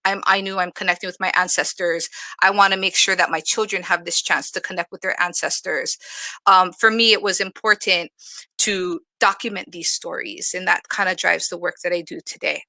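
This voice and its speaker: A woman's voice: lowish voice, steady tone, normal speed, sharp tone, no room sound. A woman speaking earnestly and personally as a moderator in a reflective panel discussion.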